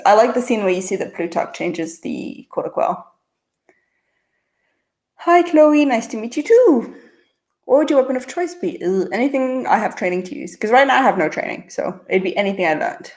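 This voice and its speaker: Chatty woman with a mixed international accent. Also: mid-range voice. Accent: mixed international-school accent. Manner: chatty, answers quickly with frequent uh and um fillers. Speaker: channel host answering viewer chat. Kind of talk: casual livestream Q&A, reading chat questions aloud.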